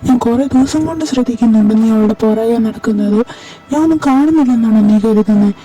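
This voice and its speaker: A woman voicing an angry, protective older brother who confronts a rival in loud, accusing bursts. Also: fairly high pitch, quick pace, close to an everyday voice. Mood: furious. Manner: shouting, confrontational. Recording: distant mic over clear music.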